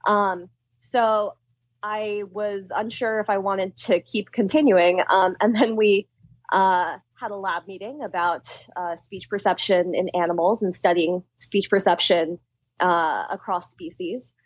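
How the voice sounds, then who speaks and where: Woman scientist in her thirties, animated and chatty, dry and a bit lo-fi. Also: medium pitch, measured pace, no echo. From Philadelphia, US. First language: Tamil. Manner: laughs often, chatty and animated. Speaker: guest scientist. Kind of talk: casual interview chat.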